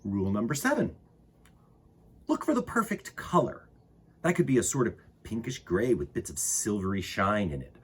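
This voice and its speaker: A man with a husky voice reading aloud to children as a storytime host, expressively, with dramatic emphasis and pauses. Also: fairly low pitch, sing-song, a little hiss or hum. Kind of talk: animated picture-book read-aloud for children.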